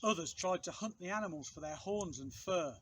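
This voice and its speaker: A man reading a children's picture book aloud in an expressive way, with measured, dramatic phrasing and pauses for effect. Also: high voice, husky. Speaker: storybook reader, outdoor teacher.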